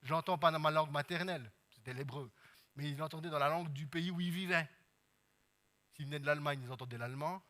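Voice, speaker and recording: Bright high-voiced Swiss French preacher. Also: male voice, fast. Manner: preaching with many amens and hallelujahs, swinging between hushed and loud. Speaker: pastor. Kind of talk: sermon.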